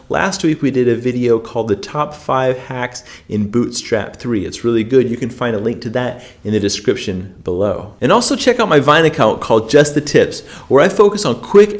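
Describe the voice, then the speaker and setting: A man giving an informal coding screencast tutorial, thinking aloud and often checking in with rhetorical questions. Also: medium pitch. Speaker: presenter of a coding tutorial channel.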